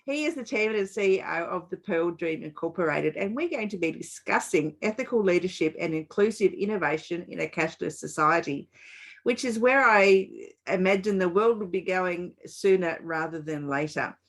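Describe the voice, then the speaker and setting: A woman's voice: fairly low pitch, expressive, moderate pace. Upbeat woman podcast host. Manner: upbeat, polished presenting. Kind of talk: scripted podcast intro and interview.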